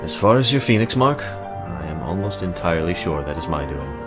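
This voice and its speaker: An earnest young scholar-mage played by a man with a low voice. He speaks formally and carefully, a little awkward, like a visual novel character explaining himself over clear background music. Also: animated, moderate pace. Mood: grave, explanatory. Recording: music bed behind the voice.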